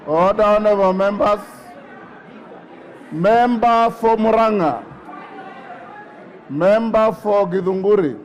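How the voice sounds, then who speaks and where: Kenyan male parliamentary speaker, high and deliberate, firm, faint crowd noise behind. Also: dull audio. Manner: stern, repeatedly calling for order. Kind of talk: chairing a rowdy parliamentary session. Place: large parliamentary chamber. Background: crowd of legislators.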